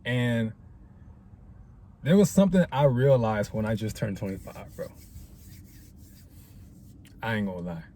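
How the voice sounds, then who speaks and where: A man's voice: lowish voice, very animated, measured pace, long pauses, mellow. A man in his twenties talking casually to camera in a rambling, reflective way, full of slang and fillers. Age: 20s. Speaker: vlogger. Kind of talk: casual talking-head vlog.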